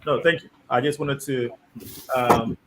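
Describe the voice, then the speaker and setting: A man hosting a live discussion show, reading out viewer comments and guiding his guests in a measured, deliberate way. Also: mid-range voice, steady tone, noisy background. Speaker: host.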